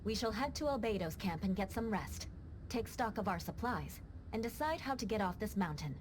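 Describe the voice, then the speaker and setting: A woman's voice: mid-range voice, lively intonation, moderate pace, background noise, lightly acted. A woman voicing a composed young female knight in a video game, formal and commanding. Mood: decisive. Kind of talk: video game cutscene voice acting.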